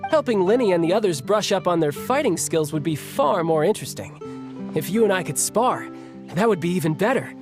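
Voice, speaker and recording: An actor playing a cocky, playful young warrior in a video game, talking in a casual, teasing way. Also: male voice, high voice, expressive, a little fast, over music. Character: cocky, playful young male warrior, battle-hungry harbinger.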